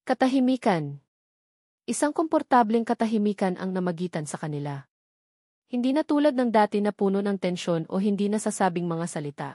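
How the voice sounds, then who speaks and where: A Filipino woman tells a scripted romantic story with an emotive, dramatic delivery. Her voice sits at a middling pitch for a woman. She leans on short, emphatic phrases, and the sound is dry, with hardly any room to it. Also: animated, fairly quick, dark tone, dry recording. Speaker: story narrator.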